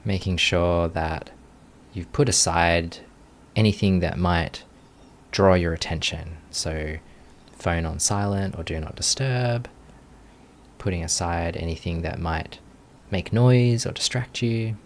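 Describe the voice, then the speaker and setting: A man with a low voice guides a meditation very slowly. He gives gentle instructions in short phrases, leaving long pauses between them. Ambient background noise is audible behind him. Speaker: meditation teacher.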